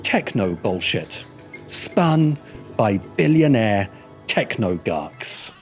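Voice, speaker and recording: Slow, measured man narrating over music. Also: on the low side, sing-song. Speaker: video essay narrator. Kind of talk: scripted narration. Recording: music bed under the voice. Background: background music.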